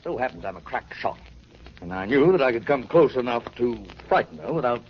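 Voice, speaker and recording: An actor in a 1940s radio drama playing a smug, glib small-time politician, fussy and ingratiating. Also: male voice, medium pitch, sing-song, fairly quick, lightly acted. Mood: smug, chatty. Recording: dull old radio recording, hiss.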